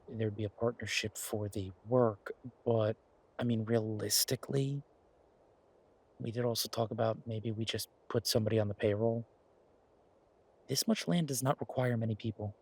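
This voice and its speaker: A man playing an earnest, playful boyfriend in a naturalistic one-sided audio roleplay, talking in a halting, wry, conversational way. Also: mid-range voice, a little mellow, breathy edge. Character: earnest, playful werewolf boyfriend pitching a farm venture.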